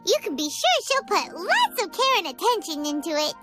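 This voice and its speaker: A woman voicing a tiny, excitable, cheerful fairy companion with a squeaky childlike voice in a video game. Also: normal speed, loud music behind the voice. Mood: bright, eager. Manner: bubbly, speaks of herself in third person.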